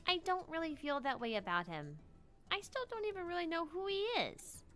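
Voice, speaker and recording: A woman's voice: extremely high pitch, wildly swooping pitch, fairly quick, a bit bright, soft background music, heavily acted. A woman voicing a small, earnest, guarded young female researcher in a visual novel. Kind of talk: visual novel read-aloud.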